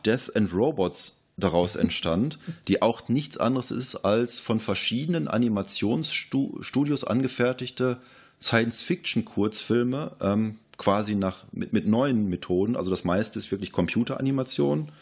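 A man's voice: lowish voice, a little mellow, breathy edge. A man casually discussing films as a podcast host.

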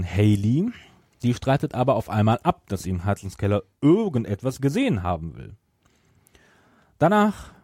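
A man's voice: medium pitch, mellow, no room sound, clean studio sound. A man hosting a podcast, retelling a story from notes and at times searching for words. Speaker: podcast host.

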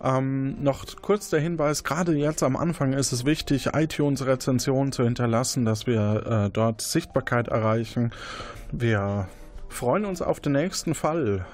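A male host wrapping up the episode in a relaxed way, with many fillers. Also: mid-range voice, measured pace, soft background music. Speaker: podcast host. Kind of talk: casual wrap-up talk.

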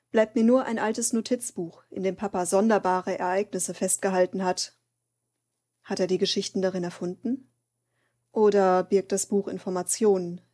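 A woman's voice: medium pitch, a little mellow, close to an everyday voice. A woman playing an earnest, searching daughter, narrating in a scripted German audio drama.

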